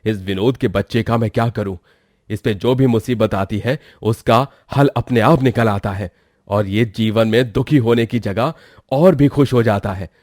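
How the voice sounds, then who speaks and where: A man's voice: lowish voice, moderate pace, a little mellow, dry recording, clean studio sound, lightly acted. Man playing a jealous scheming village villain. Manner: bitter, plotting.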